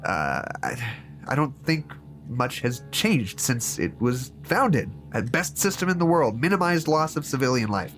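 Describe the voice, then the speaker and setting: Halting, disillusioned therapist over music. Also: male voice, medium pitch, sing-song, a bit bright. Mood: bitter. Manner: halting, hesitant, stammering repeats. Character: troubled, disillusioned male therapist reasoning aloud. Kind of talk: audio drama monologue.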